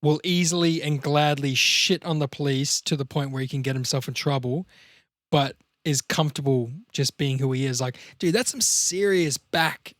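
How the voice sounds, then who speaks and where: Man, slightly high voice, casual podcast co-host, animated riffing, sweary, close dry mic. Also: normal speed, no echo.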